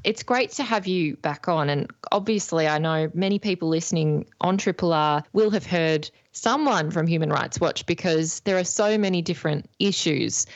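A woman's voice: on the low side, normal speed, few pauses, no echo, dull audio. Australian woman hosting a radio interview. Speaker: radio host.

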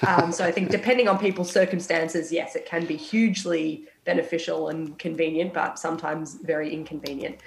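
A young woman on a radio health panel, a final-year medical student, talking frankly and a little croaky from a cold. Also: sits low, steady tone, a little fast, hardly any pauses, reverberant, slight background noise, poor audio. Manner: frank, reflective, many restarts. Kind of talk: casual radio panel chat.

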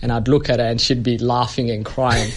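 A man talks as a comedian guest in a radio studio. He rambles through anecdotes in a mid-pitched voice, peppering them with fillers and chuckles. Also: level tone, fairly quick. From Ethiopia. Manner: chatty storyteller, many fillers, laughs often. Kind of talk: casual anecdotal interview chat.

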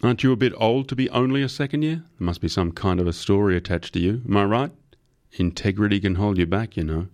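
A man's voice: fairly low pitch, fast, a little mellow, voice pushed up, close to an everyday voice. Audiobook role read by a man: jovial male business magnate, forthright and commanding, raised emphatic voice. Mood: curious, approving. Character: powerful, blunt, jovial male company tycoon.

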